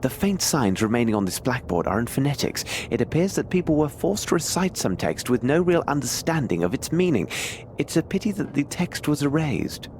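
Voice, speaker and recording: An actor playing a cerebral Victorian detective in a video game, with a formal, articulate way of musing aloud. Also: male voice, medium pitch, big pitch swings, brisk, a little mellow, slight background noise, lightly acted. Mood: inquisitive. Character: Victorian male detective, cerebral, self-assured, inquisitive. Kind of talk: video game dramatic narration to himself.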